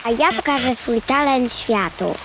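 An eager, cocky little girl in a children's cartoon. The voice is high and bouncy, with showy childlike delivery. Loud noise and clicks sit behind it. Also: animated, a little slow. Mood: boastful. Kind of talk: dubbed children's cartoon acting.

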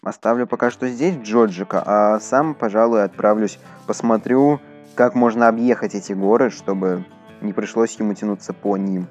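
A man's voice: fairly low pitch, steady tone, normal speed, darkish tone, highs cut off, faint music behind. A man doing casual, chatty let's play commentary, talking to his viewers as he plays. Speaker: gaming YouTuber.

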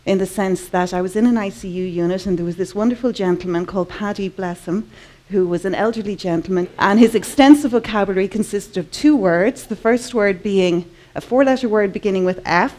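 An Irish woman in her forties tells her story from a conference stage. Her voice sits in a middle pitch and is picked up close by a headset mic. She speaks earnestly and unhurriedly, leaning on her key words. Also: a little fast, darkish tone. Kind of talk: personal talk to a live audience. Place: large conference hall, indoors.

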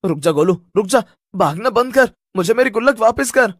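A man's voice: high voice, expressive, normal speed, no echo, studio quality, voice pushed up. A man voicing an indignant rich young boy who speaks insistently and stands up for himself in a cartoon story. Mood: demanding.

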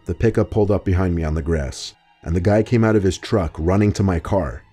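A man narrating scripted true horror stories in a steady, even way. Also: low-pitched, a little fast, slightly rough. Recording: faint music under the voice.

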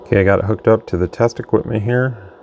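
A man's voice: lowish voice, quick pace, creaky, a little mellow, highs cut off. A man giving a casual, plain-spoken, rambling product demonstration as a channel host at his indoor workbench. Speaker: radio bench reviewer, channel host. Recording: faint background noise, room ambience.

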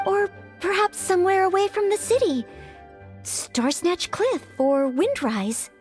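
Earnest, very high-pitched young maid. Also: female voice, big pitch swings, unhurried, soft background music, heavily acted. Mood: pondering. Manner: polite, hesitant, self-doubting. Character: earnest, anxious young maid aspiring to knighthood. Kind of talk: video game voice acting.

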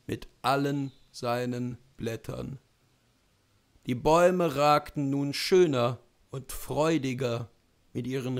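A man in his thirties reading a classic story aloud at a measured, unhurried pace in a room at home. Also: medium pitch, vocal fry. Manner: slow, measured narration. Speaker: reader. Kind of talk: literary reading.

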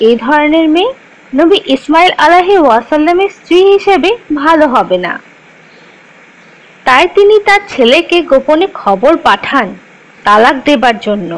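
A woman playing a kind teacher who tells religious stories to children in a measured, instructive, scripted way. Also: sits high, low-quality recording. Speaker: storyteller narrator teaching children.

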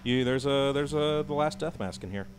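A man's voice: mid-range voice, moderate pace, a little hiss or hum. A man co-hosting a game playthrough, casually guiding his partner with teasing, profane banter. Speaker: guiding let's-play co-host. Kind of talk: casual let's-play banter. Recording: game music underneath.

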